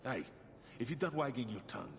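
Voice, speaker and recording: An actor playing a curt, sarcastic dock laborer in a video game. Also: male voice, medium pitch, very animated, brisk, gravelly. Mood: surly. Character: surly, smart-mouthed male dock laborer. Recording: reverberant, faintly noisy dockside ambience.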